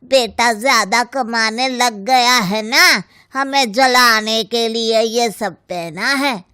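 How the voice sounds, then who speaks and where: A woman's voice: fairly high pitch, takes it slowly, bright, forceful tone, clean tone, no room sound, clean studio sound. A woman voicing catty, envious aunts-in-law in a cartoon story, sneering and gossipy. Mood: mocking.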